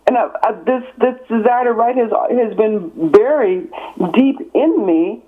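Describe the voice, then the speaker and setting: A woman's voice: on the high side, measured pace. A woman in her sixties from East Texas, an author speaking as an interview guest, emphatic and building her points in repeated parallel phrases. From born in California, raised in East Texas. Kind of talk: interview on a call. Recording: tinny phone line.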